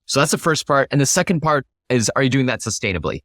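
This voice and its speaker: A man's voice: medium pitch, expressive, fast, fairly bright tone, dry recording, studio quality. A man giving structured, explanatory answers as an interview guest, stressing his key points. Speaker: interview guest, product leader.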